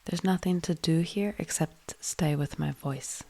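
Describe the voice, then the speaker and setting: A woman leading a guided yoga nidra meditation in a soothing, hushed manner, with phrases often trailing into breath. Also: deep voice, unhurried.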